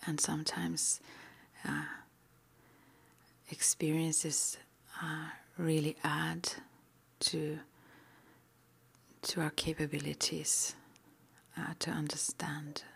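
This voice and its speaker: Woman doing asmr roleplay, breathy whisper, low and creaky, hesitant n reassuring. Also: level tone. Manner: hesitant, halting, gently reassuring. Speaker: ASMR roleplayer playing a witch school guide and interviewer.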